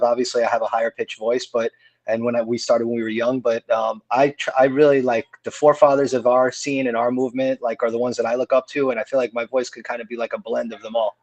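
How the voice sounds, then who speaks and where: This is a man in his forties, a punk singer being interviewed, who talks in a loose, rambling way full of "like" and "um" and restarts. His voice sits at an ordinary middle pitch. It is recorded close and dry, with no room sound. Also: no room sound, low-quality recording. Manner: relaxed, rambling, lots of fillers and restarts. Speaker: hardcore band vocalist, podcast guest. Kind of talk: casual interview chat.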